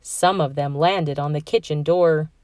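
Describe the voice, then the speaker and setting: A woman reading a children's story aloud in a measured, expressive way. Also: low voice, no echo, studio quality. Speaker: storybook reader. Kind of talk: children's read-aloud narration.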